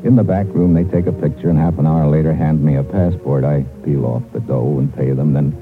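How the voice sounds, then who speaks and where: An actor playing a tough, cool-headed undercover agent in a vintage radio adventure, talking in clipped, hard-boiled slang. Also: male voice, a bass voice, fairly even intonation, brisk, over music. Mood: tense narrating. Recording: tinny, noisy old radio recording.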